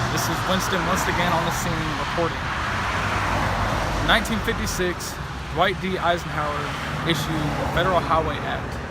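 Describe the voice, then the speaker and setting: A young man giving a scripted on-the-scene news report outdoors as a field reporter. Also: on the high side. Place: outdoors by a road and houses. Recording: noisy outdoor location audio.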